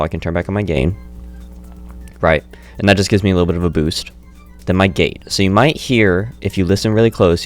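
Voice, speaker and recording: A man with a very low voice talks through his audio setup in a relaxed tutorial, pausing to correct himself as he works. He speaks into a studio microphone at a home streaming desk. Faint music plays behind him. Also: gravelly. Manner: relaxed, self-correcting explainer. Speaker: streamer demonstrating audio software. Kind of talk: casual screen-recorded tutorial. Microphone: studio dynamic mic through audio interface.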